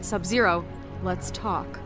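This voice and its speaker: An actress playing a tough, sarcastic young soldier and squad leader in a video game cutscene, blunt and combative. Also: female voice, on the low side, steady tone, slow. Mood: tense, irritated. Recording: music under the voice.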